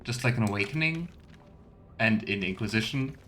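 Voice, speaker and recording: A man's voice: fairly low pitch, animated, moderate pace, fairly bright tone. Musing male game streamer, faint keyboard noise. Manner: musing, playful asides to viewers. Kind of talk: casual live let's play commentary.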